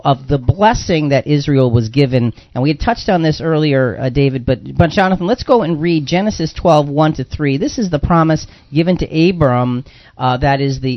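Male radio host, slightly high voice, explanatory, repeats for emphasis, conversational, dull lo-fi sound. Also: dry recording. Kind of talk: conversational Bible discussion. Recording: dull, lo-fi broadcast audio.

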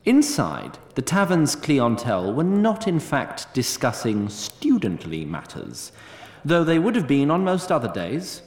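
An actor narrating a fantasy audio drama in formal, elaborate, wry storytelling language, recorded with a roomy echo. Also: male voice, mid-range voice, huge pitch swings, unhurried. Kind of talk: scripted narration.

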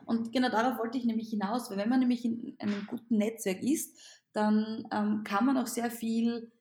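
Austrian-accented female teacher, non-native, higher voice, roomy echo, lively interview answers. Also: normal speed. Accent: Austrian German. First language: not German. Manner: animated, repeats words and restarts.

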